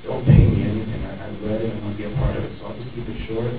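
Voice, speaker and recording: A man, an actor on a festival panel, speaking with a lively, joking manner. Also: lowish voice, very fast, phone-quality sound. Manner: lively, joking, then sincere. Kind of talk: panel Q&A answer. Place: large hall with an audience. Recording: far-off echoey hall, loud noise.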